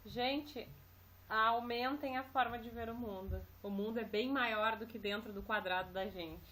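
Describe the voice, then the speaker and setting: Encouraging woman co-host, slightly high. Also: unhurried, a bit bright. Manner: encouraging, addresses viewers directly. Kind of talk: casual chat.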